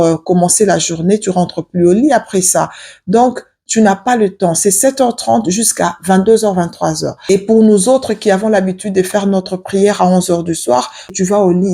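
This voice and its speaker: Forties woman vlogging advice, earnest, lots of ums and pauses, medium pitch. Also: dark tone, husky. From Africa. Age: 40s. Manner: hesitant, many fillers, earnest and measured. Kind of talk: casual advice talk to camera.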